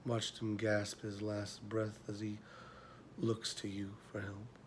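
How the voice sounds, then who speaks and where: A weary older mentor, acted by a man speaks in a low, flat-contoured voice. He is tender but confronting, pausing often and letting phrases sink toward a hush, as in a film drama, with faint noise behind. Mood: somber, challenging. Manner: measured, pausing, drops to near whisper. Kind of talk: slow dramatic film monologue audition.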